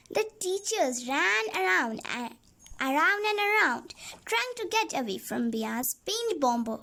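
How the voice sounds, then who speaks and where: Really high girlish lady voice reading a storybook out loud, slow n halting, repeats words. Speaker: read-along storyteller. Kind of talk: reading a children's storybook aloud.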